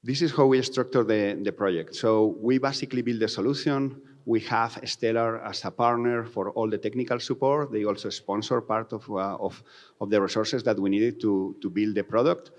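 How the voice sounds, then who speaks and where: Low-pitched Spanish-accented man presenting. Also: steady tone, normal speed. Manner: non-native English with reworded phrases. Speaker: company founder presenting. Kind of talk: conference presentation.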